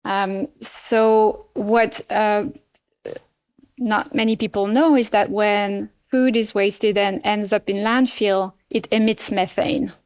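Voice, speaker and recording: Middle-aged woman, native French speaker, French accent; podcast guest, measured and thoughtful with hesitations. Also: mid-range voice, fairly even intonation, darkish tone, smooth. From France. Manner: measured, many fillers and restarts. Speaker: nonprofit CEO, guest. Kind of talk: podcast interview.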